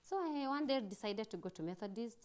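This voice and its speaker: A woman's voice: a little high, lively intonation, a little fast, a little mellow. A woman in her thirties with a Kenyan accent, a chef chatting casually as she demonstrates and answers questions. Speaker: chef, guest on a cooking show. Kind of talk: casual demonstration and interview chat.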